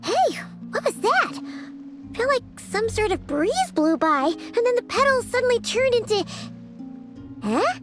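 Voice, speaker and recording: Squeaky, tiny fairy companion. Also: female voice, measured pace, pauses often, over music, full character voice. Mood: startled. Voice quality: squeaky, childlike. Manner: chatty, expressive, exclaiming. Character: tiny chirpy childlike female fairy companion, bubbly and curious. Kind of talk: video game voice acting.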